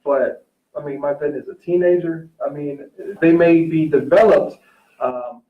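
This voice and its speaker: A man in a casual discussion, agreeable, choosing his words in a measured, somewhat hesitant way. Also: fairly high pitch. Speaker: teacher, talk show guest or co-host. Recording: roomy, dull, distant-mic recording.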